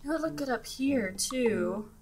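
A woman's voice: high-pitched, expressive, measured pace, darkish tone, poor audio. Giggly young woman over game music. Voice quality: chatty, giggly, many fillers and restarts. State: scratchy morning voice. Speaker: gaming channel host. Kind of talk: casual let's-play commentary.

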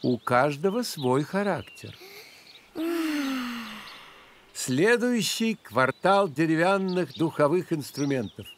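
An actor voicing a courtly grasshopper maestro who guides children through music in a theatrical, measured, explanatory cartoon manner. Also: male voice, a little high, lively intonation, noisy background. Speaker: guide and teacher. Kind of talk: animated educational storytelling. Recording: music behind the voice.

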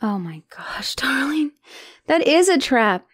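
A woman's voice: high voice, very animated, takes it slowly, breathy edge, dry recording, clean studio sound, voice pushed up, full character voice. A woman voicing an anxious, self-doubting young spider-woman girlfriend in an ASMR roleplay, speaking hesitantly and emotionally and often slipping toward a whisper. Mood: alarmed, fearful.

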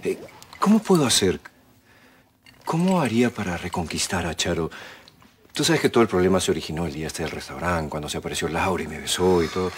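A man's voice: deep voice, expressive, fast, a little hiss or hum. An actor with a Peruvian accent playing a mild, lovesick man in his forties, hesitant and deferential, in a TV comedy serial. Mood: hesitant, hopeful. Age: 40s. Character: mild, obliging, lovesick well-off friend. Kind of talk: telenovela comedy acting. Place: living-room TV set. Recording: background music under dialogue.

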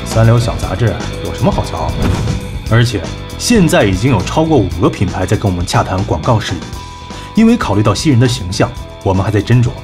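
A man playing a cool, assured agency executive in an audio drama, measured and persuasive. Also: on the low side, sing-song, music in the background. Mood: cool, businesslike. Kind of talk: Chinese audio drama acting.

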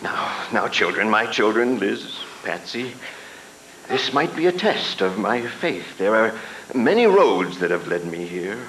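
A man's voice: on the low side, very animated, a little slow, fairly bright tone, slight background noise, full character voice, low-quality recording. A man playing a pious older pastor father, speaking in a solemn, preacherly way in a live radio drama reading.

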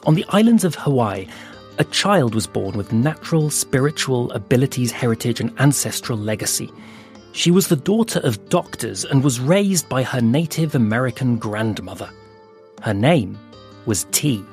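A man narrating an audio fable in a slow, hushed storytelling manner with dramatic pauses. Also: medium pitch, very animated. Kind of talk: scripted audio fiction narration. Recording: soft music underneath.

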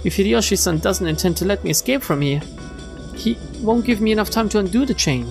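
A woman streamer reading visual novel text aloud in an expressive storytelling style. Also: mid-range voice, brisk. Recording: game music behind the voice.

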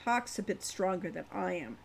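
A woman's voice: on the low side, animated, moderate pace, gravelly, slight background noise. A woman voicing a serious, patient older brother in an audiobook, giving restrained, businesslike instructions. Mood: cautious.

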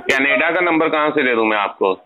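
A man's voice: mid-range voice, expressive, fairly quick. Young man on a noisy, tinny phone call. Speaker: phone call recipient putting off a debt collector. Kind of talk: phone conversation. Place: telephone call. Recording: tinny phone line with noise.

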